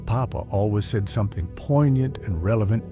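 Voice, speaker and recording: A man's voice: on the low side, expressive, brisk, natural voice. A man acting a jittery old man who lives alone, rambling and folksy as he talks to himself in a comedic audio drama. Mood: muttering, wary. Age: older. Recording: music behind the voice. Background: music.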